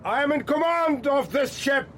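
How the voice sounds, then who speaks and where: A woman's voice: high voice, expressive, normal speed, noisy background. A woman playing a hard, commanding militant leader in a radio drama, curt and insistent. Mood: commanding, angry.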